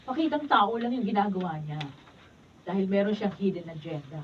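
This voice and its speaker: An actress playing a greedy, spoiled grown daughter in a radio drama, sneering and mocking. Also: female voice, medium pitch, lively intonation, fairly quick, close to an everyday voice. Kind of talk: radio drama acting, read from script. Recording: dull lo-fi, distant, faint room noise.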